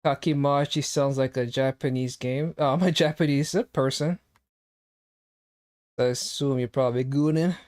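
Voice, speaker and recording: A man's voice: mid-range voice, fairly even intonation, moderate pace, a little mellow. A young man streaming a game, chatting casually and teasing his chat with jokes. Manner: joking, teasing, reacting to chat.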